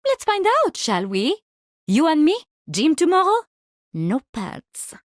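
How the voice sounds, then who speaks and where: Game hero: playful, morbid French mushroom scientist, female, French accent, high register, very lively intonation, wry and theatrical. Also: slow pace, dry recording, studio quality, heavily acted. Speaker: video game character voice lines. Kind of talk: video game hero barks.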